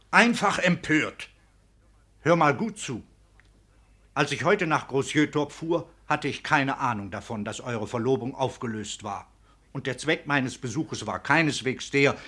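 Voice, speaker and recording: An actor playing an elderly provost and fatherly uncle in a German radio drama, husky and emphatic, given to indignation. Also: medium pitch, moderate pace, sharp tone. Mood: shocked, indignant. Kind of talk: German radio play acting.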